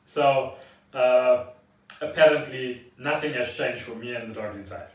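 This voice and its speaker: A man's voice: medium pitch, unhurried. A man chatting casually as a podcast co-host at home. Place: indoors at home. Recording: roomy, distant from the mic.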